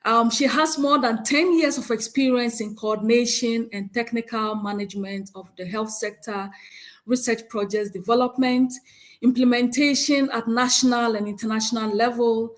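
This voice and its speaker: A woman with a Ghanaian accent chairs a conference session and reads out a speaker's biography, with frequent hesitant pauses. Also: on the high side, fairly even intonation. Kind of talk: conference moderation, reading a speaker bio.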